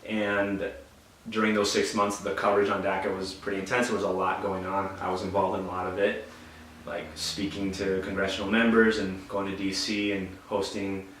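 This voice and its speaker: Low, flat-voiced young man in an echoey room. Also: slight background noise. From Bolivia. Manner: measured, explanatory, some restarts. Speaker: interviewee. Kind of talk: calm explanatory testimony. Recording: echoey room, mic at a distance.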